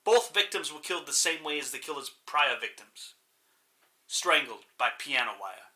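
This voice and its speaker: A man's voice: fairly high pitch, animated, moderate pace, bright, forceful tone. A man playing a formal, matter-of-fact radio news reporter reading a scripted bulletin in an audio drama.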